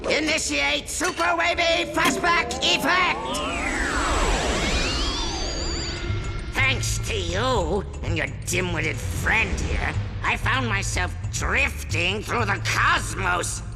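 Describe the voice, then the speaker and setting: An actor voicing a theatrical robot supervillain in a video game, maniacal and petulant, with grandiose, drawn-out, melodramatic delivery. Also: male voice, extremely high pitch, loud music behind the voice, heavily acted. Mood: scheming, gloating. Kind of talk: video game cutscene acting.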